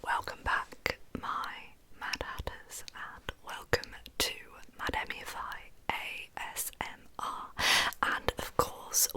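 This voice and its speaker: A British woman telling true crime stories for ASMR in a breathy, unvoiced whisper, with an informal, chatty manner. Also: bright, forceful tone, rough recording.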